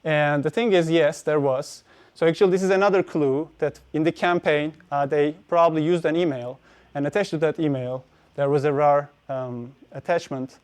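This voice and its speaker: A man's voice: fairly high pitch, fairly even intonation, normal speed, a little mellow, smooth. A man giving a technical conference talk, hesitant, with many fillers and restarts. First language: Turkish. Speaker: conference speaker.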